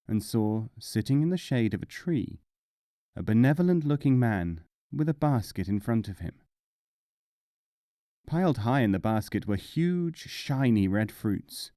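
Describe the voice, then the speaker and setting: A man narrating short tales as an audiobook, with measured, deliberate phrasing and dramatic pauses. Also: medium pitch, mellow, dry recording, clean studio sound. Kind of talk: scripted storytelling narration.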